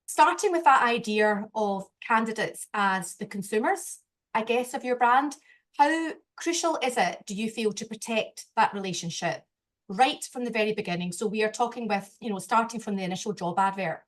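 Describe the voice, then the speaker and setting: A woman's voice: on the high side, lively intonation, normal speed. A woman hosting a conversational interview, talking in an agreeable, discursive way. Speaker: interviewer and recruiter.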